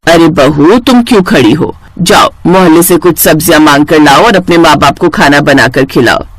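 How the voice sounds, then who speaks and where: A woman's voice: low voice, moderate pace, breathy edge, no room sound, voice pushed down. A woman voicing a bossy, shameless older mother-in-law in a moral story. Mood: commanding.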